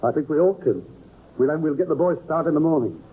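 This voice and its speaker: A man's voice: a little high, animated, quick pace, husky. An actor playing an observant, plain-spoken male investigator in an old-time radio crime drama, with a conversational manner. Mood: decisive. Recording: tinny old radio recording.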